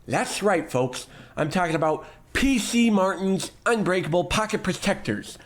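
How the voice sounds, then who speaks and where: Male actor: a hammy radio ad announcer with a slightly high voice and a salesy pitch. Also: natural voice. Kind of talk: parody radio commercial.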